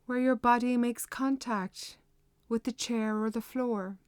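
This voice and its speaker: A woman leading a guided meditation with measured, unhurried, gently instructive delivery. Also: fairly high pitch, steady tone, smooth, dry recording.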